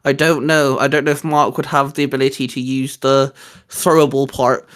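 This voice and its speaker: A man's voice: medium pitch, flat delivery, moderate pace, fairly bright tone. A man co-hosting a casual fan discussion, talking in a rambling, enthusiastic way. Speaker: co-host of a fan discussion show. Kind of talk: casual chat about geeky topics.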